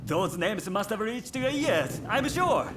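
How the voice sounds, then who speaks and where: A man's voice: high-pitched, sing-song, slow pace, noisy background, heavily acted. A man playing a confident, appraising samurai warrior in a dramatic video game scene. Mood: challenging. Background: game soundtrack music.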